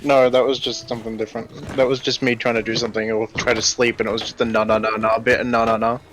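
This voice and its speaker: A man's voice: mid-range voice, flat delivery, fast talker, a bit bright, slight background noise. A man chatting casually in a group voice chat, teasing and sweary with his friends.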